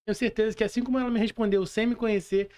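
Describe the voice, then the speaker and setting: A man with a Brazilian accent and a distinctly high voice hosts a casual podcast. He talks informally and effusively, using plenty of slang as he addresses his guest and audience. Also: fairly even intonation, rapid-fire, a little mellow. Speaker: podcast host.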